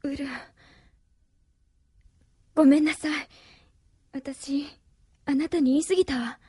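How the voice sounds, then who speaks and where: Pleading young woman: very high, slow, emotional anime drama delivery, dry sound. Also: steady tone, warm tone, dry recording. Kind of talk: anime audio drama acting.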